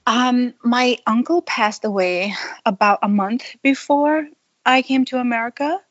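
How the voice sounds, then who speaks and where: A woman who grew up in Thailand, telling her life story in an unhurried, thoughtful interview, with small laughs. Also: a little high, no room sound, muffled recording. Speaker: podcast guest. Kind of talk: conversational interview.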